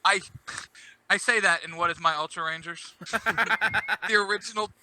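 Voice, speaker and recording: A man's voice: high-pitched, fairly even intonation, normal speed, noisy background. A man in casual fan chat on a podcast, informal and often asking questions. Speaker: podcast speaker.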